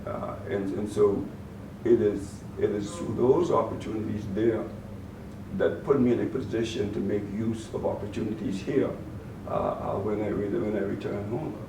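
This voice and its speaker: A man with a Bahamian accent, a guest in an interview, answering in a measured way and often repeating words as he gathers his thoughts. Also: fairly low pitch, steady tone. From Turks and Caicos Islands, raised in the Bahamas. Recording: roomy, distant mic with faint noise.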